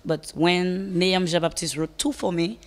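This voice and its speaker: A woman with a Saint Lucian accent talks about her career as a guest on a talk show. Her voice is lowish and her manner is relaxed and conversational. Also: unhurried. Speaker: calypsonian guest. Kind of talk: interview answers.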